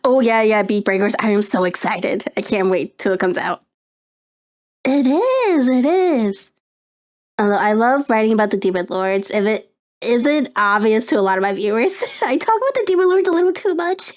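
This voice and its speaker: A young woman streamer chatting casually on a live stream, rambling and excitable, with lots of fillers and laughter. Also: fairly high pitch, normal speed.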